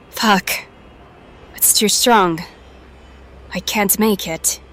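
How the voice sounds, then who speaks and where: An actress playing a young, foul-mouthed, embattled magic user in an audio drama, terse and full of sighs. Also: female voice, mid-range voice, drawn-out words, sharp tone. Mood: strained, afraid. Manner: terse, sighing. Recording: noisy ambience behind the voice.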